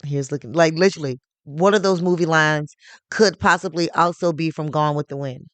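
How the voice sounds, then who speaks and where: A woman from Tennessee with a deep voice, co-hosting a casual movie review podcast. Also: fairly even intonation, normal speed, dry recording. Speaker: podcast co-host.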